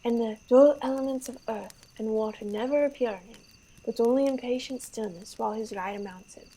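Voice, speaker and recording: Young woman as vain French prince for radio Shakespeare. Highish, soft-edged voice, declamatory, besotted with his horse. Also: fairly quick, rough recording. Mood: boastful, rapturous. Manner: grandiose, declamatory. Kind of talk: radio theater Shakespeare acting.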